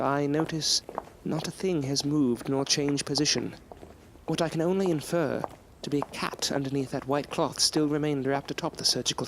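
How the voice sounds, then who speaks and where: A man's voice: medium pitch, background noise, close to an everyday voice. A man playing a polite, earnest young student in a gothic audio drama, speaking in formal, old-fashioned phrasing. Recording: music under the voice.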